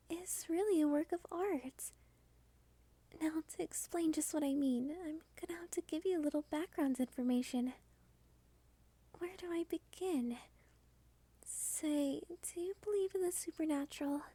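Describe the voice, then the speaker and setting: A cute female vampire, played by a woman for an ASMR roleplay, speaks in a high, mellow voice at an unhurried pace. She is soft-spoken and courteous, with a coy, teasing edge and a quietly possessive, dominant temper. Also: dry recording.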